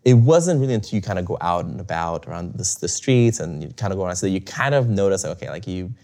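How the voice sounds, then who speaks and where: Low-voiced guy from bulgaria, casual interview chat, lots of ums and little laughs. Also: animated, quick pace, a little mellow. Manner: reflective, many fillers and restarts, chuckles often. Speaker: interview guest.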